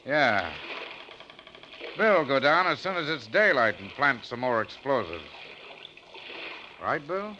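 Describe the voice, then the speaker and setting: A practical, confident crewman on a treasure hunt. The mid-pitched voice is matter-of-fact, in vintage radio drama style, on a noisy old recording. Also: sing-song, slow, long pauses, dull audio. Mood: practical, concerned. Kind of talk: 1940s radio acting.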